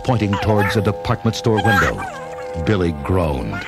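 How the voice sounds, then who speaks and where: A man's voice: deep voice, sing-song, normal speed. A man narrating a children's read-along adventure in a dramatic, theatrical scripted style. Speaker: story narrator. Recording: loud music and effects behind narration.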